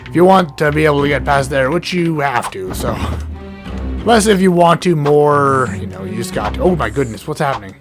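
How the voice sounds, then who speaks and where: A hoarse man, sick, giving rambling casual commentary while playing a game, often stuttering and correcting himself. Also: on the high side, lively intonation, a little fast. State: hoarse from illness. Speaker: let's play gamer. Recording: game music underneath.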